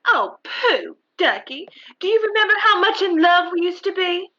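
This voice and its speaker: A squeaky, cooing, clingy sweetheart. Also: female voice, very animated, moderate pace, sharp tone, lo-fi sound, heavily acted. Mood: pouting, pleading. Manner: cooing, pouting. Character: gushing, clingy, coquettish old-flame girlfriend. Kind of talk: radio comedy acting.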